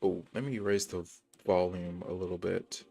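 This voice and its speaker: Brief: man, low voice, laid-back streamer commentary, game music in the background. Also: gravelly. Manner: relaxed, halting, with fillers. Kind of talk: casual gameplay commentary.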